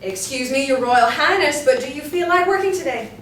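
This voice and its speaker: A woman's voice: high-pitched, lively intonation, brisk. A woman playing a vain, hot-tempered rich hostess, emphatic and indignant, in a stage play reading. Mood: bossy. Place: small indoor room, seated with scripts. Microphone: distant camera. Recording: echoey room, distant mic, faint noise.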